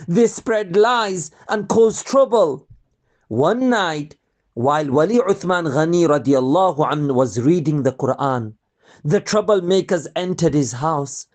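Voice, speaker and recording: Slow, expressive children's storyteller. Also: mid-range voice. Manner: expressive, asks listeners rhetorical questions. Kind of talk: scripted children's religious storytelling.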